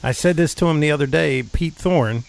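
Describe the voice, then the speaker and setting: A man hosting a podcast, chatting in a relaxed way and agreeing often. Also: fairly high pitch, fairly quick, creaky. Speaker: podcast host. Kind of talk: casual interview chat.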